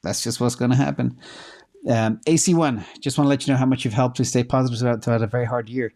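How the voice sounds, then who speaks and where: A middle-aged man with a dark, mellow voice hosts a casual livestream. He is hoarse from losing his voice. He talks loosely and thinks aloud with plenty of ums while checking numbers live. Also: mid-range voice, fairly even intonation, fast. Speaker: host answering viewer questions.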